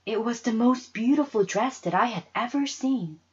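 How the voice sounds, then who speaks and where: A woman reading a children's story aloud as an expressive narrator. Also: on the high side, moderate pace, slightly rough, muffled recording. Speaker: storybook reader.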